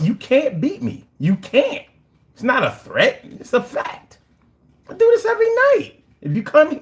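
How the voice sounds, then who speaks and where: A young man from Philadelphia with a Philadelphia accent, chatting casually on a livestream, brash and profane, boasting in street slang. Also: extremely high pitch. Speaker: livestream host. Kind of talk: casual livestream chat reading comments.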